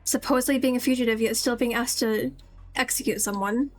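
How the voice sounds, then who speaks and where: Female game streamer: high-pitched, casual, thinking aloud tentatively over background music. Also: fairly even intonation, brisk. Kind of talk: casual live game commentary.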